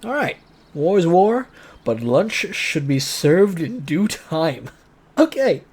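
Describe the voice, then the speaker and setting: A man's voice: fairly high pitch, big pitch swings, slow, a little mellow, slightly rough. A man reading a visual novel aloud as a streamer, switching between dramatic narration and casual, joking commentary. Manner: playful, joking asides.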